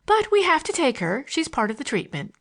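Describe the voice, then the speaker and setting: A woman's voice: high voice, big pitch swings, a little fast, voice pushed up. A woman voicing a playful, cheeky teenage schoolgirl with romantic notions, chattering in a teasing, girlish way for an audiobook. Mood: breezy, amused.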